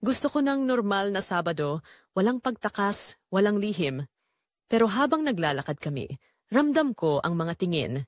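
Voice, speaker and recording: A woman narrates a scripted drama in the first person with emotive, confessional delivery. Her mid-range voice moves with very lively intonation, and the sound is close and dry. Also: medium pitch, moderate pace, dry recording.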